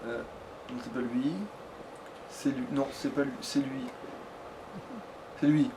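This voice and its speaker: A man's voice: medium pitch, level tone, takes it slowly. A man chatting casually as he comments on a video game, swearing and joking in colloquial language. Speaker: let's play gamer. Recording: distant mic, noisy background.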